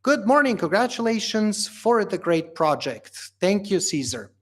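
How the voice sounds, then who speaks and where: A man's voice: sits high, very animated, unhurried, fairly bright tone. A man from Bulgaria hosting a livestream Q&A as company founder, reading out viewer questions and answering them casually with lots of fillers. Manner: casual, reads questions aloud then answers with many fillers.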